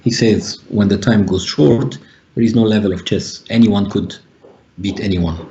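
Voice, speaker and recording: A man's voice: fairly low pitch, moderate pace, dark tone, muffled recording, a little hiss or hum. Hesitant man commentating chess. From Egypt. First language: Arabic. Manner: thinking aloud, frequent hesitations. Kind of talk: live online chess commentary.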